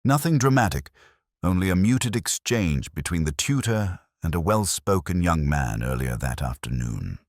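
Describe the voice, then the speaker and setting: A man reading a scripted first-person mystery story in a measured, literary and brooding manner. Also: low-pitched, lively intonation, no echo, studio quality. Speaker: narrator.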